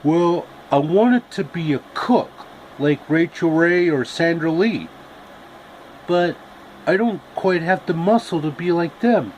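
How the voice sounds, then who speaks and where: A man voicing a wistful tin man from a storybook, rambling and halting as he talks. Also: a little high, animated, darkish tone, a little hiss or hum, poor audio. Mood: eager, wistful. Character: wistful, chatty tin man pining for lost love.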